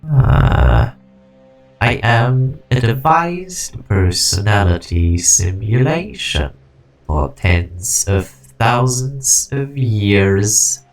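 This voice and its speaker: A man acts out an ancient synthetic guardian of a dying civilization. He speaks very slowly and gravely, with a creaky, lowish voice and weary grandeur. Music plays under this game fan-dub performance. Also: sing-song, heavily acted. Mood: grandiose, bleak. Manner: grandiose, slow, ponderous. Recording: music behind the voice.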